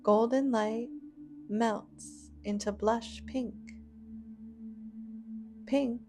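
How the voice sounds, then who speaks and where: A woman guiding a scripted imagery meditation in a gentle, soothing, unhurried manner with long pauses. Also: medium pitch. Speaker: meditation guide.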